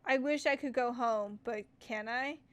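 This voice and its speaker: A woman's voice: fairly high pitch, measured pace. Woman reading game text aloud. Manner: casual, stumbles over words and breaks off to comment. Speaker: gaming streamer.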